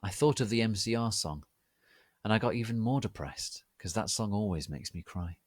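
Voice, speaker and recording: A man giving a theatrical, deliberate, mock-serious dramatic reading of fan fiction. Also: on the low side, dry recording. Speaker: reader of online fan fiction.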